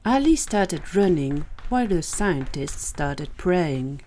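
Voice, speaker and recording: Lowish-voiced woman narrating a story. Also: lively intonation, takes it slowly, mellow, slight background noise. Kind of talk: scripted audio drama narration.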